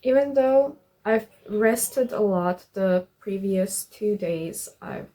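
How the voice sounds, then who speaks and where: Young female vlogger, unhurried and informal, with a soft mellow tone and casual delivery. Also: mid-range voice, expressive, rough recording. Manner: informal, swearing. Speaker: vlogger, artist. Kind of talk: casual vlog narration.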